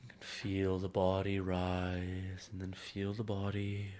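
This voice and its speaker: A man leading a guided meditation in a soothing way, with unhurried phrasing and audible sighs and hums. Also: sits low, completely flat delivery. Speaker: meditation guide, music therapist. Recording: music playing over voice, online video call. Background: meditative instrumental music.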